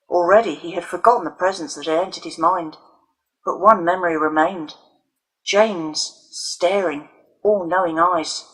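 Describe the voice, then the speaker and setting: A woman reading a children's novel aloud as its author, in dramatic, deliberate audiobook narration. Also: on the low side, a bit bright, husky, low-quality recording.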